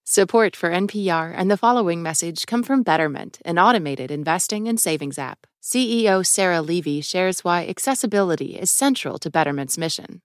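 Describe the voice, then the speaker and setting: A woman's voice: lowish voice, lively intonation, brisk, hardly any pauses, no echo, clean studio sound. An American woman reading scripted sponsor messages as an announcer. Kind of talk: scripted ad read.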